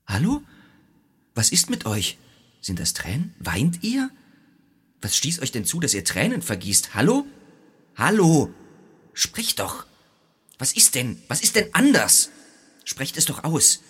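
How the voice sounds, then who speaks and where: An actor playing an anguished Greek hero in a theatrical radio drama, husky and gravelly, halting and declamatory between whispers and cries. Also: male voice, mid-range voice, wildly swooping pitch, fairly bright tone, heavily acted. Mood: pleading, alarmed.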